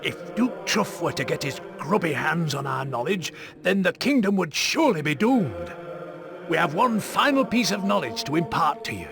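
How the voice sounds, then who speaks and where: An actor voicing a solemn, earnest hooded monk in a video game, speaking in a grave, formal way. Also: male voice, fairly high pitch, big pitch swings, normal speed, gravelly, music in the background. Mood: urgent, grave. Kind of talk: video game character acting.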